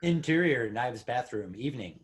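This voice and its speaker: A man's voice: medium pitch, lively intonation, moderate pace, slightly rough. A man announcing scene headings and captions in a scripted screenplay reading.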